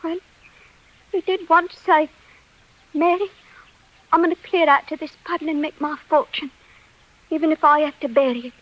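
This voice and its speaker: A woman's voice: high voice, steady tone, normal speed, pauses often, natural voice. An actress playing a forlorn but proud young English servant girl in a 1940s radio drama, plaintive and emotional. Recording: dull 1940s radio recording.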